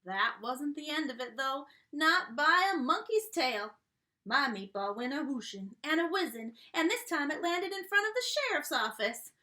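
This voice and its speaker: A woman's voice: high-pitched, lively intonation, normal speed, sharp tone. A woman voicing a folksy, cheerful male dog who runs a restaurant, telling his tale theatrically in a children's read-aloud. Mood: dramatic. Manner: theatrical, exclamatory, down-home country talk. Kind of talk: expressive children's read-aloud with sung refrains.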